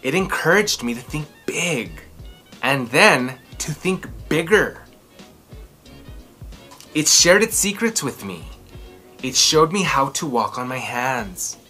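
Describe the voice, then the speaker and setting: Guy in his 30s doing a kids storytime, super expressive and theatrical, bright voice, soft music behind. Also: fairly high pitch. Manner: theatrical, emphatic delivery with drawn-out words. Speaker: children's book read-aloud host. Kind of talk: expressive storytelling and chatty commentary for children. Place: small furnished room indoors.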